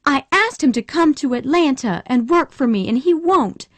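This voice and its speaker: A woman voicing a headstrong, passionate young Southern woman in a storybook reading, high, urgent and emotional. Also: animated, normal speed, fairly bright tone, no room sound. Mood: upset, tearful.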